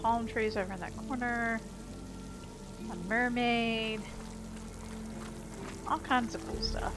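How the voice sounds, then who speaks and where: A woman's voice: fairly high pitch, slow. Chatty woman gamer over game music. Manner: relaxed, chatty, musing aloud. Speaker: gaming video host. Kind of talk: casual game walkthrough commentary.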